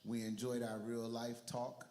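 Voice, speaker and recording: A man hosting a church community talk before a studio audience, energetic and rallying online viewers and the crowd. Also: mid-range voice, measured pace. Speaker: church livestream host. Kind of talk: hyped livestream hosting.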